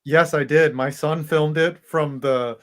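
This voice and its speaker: A man's voice: fairly high pitch, fairly even intonation, a little slow. Jokey man in a casual chat. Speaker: podcast co-host.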